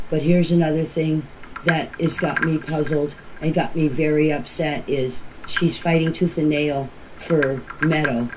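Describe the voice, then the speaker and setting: An older woman with a Canadian Maritime accent speaks informally, answering questions and often repeating and rephrasing herself. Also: low-pitched. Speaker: family member speaking about a case. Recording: noisy amateur voice clips with clicks.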